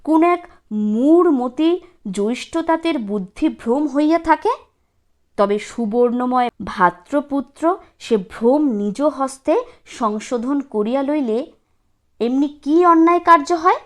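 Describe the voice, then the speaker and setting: A woman's voice: high-pitched, animated, takes it slowly. A woman voicing a scheming, indignant wife and doting mother, scolding in a declamatory, sarcastic way in a storybook reading. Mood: defiant scolding.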